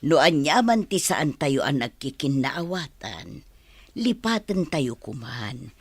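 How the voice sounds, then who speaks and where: A woman playing a conciliatory middle-aged village mother in a radio drama, husky, with an Ilocano accent. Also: low-pitched, sing-song, slow, no room sound, full character voice. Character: conciliatory village mother of a bride-to-be, slow-talking.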